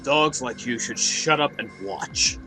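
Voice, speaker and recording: A performer voicing a smug, predatory male demon lord in a game fan dub, taunting with drawn-out menace. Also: mid-range voice, pushed and bright, rough recording. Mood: mocking contempt. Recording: music behind.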